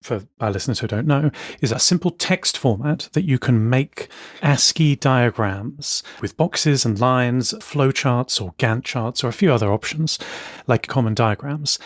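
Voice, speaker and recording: A man co-hosting a casual podcast, talking with enthusiasm and quick asides. His voice sits at a middling pitch and is recorded very dry, with no room sound. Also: no echo. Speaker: podcast co-host. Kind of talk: casual chat.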